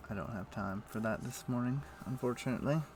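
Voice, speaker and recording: A man's voice: medium pitch, fast. A man giving casual game stream commentary, thinking aloud as he plays. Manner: thinking aloud while playing. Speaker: livestreamer. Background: music.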